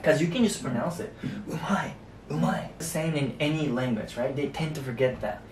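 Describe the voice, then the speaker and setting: A young man with a Japanese accent, teaching a relaxed whiteboard lesson and tagging his sentences with a soft "ne" and "you know". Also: a little fast. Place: small indoor room at a whiteboard. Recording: echoey room, distant mic, faint rumble.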